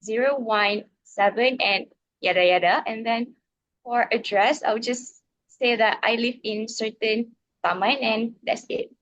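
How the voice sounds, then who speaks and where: A young woman from northern Malaysia with a Malaysian accent, a guest on a casual podcast, answering in a relaxed way with frequent pauses and ums. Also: on the high side, lo-fi sound. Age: young adult.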